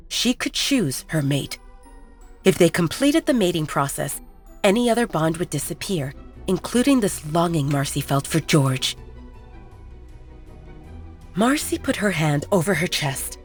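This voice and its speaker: A woman delivers scripted narration for a romance audio story. Her voice is pitched low for a woman, and she acts as the storyteller.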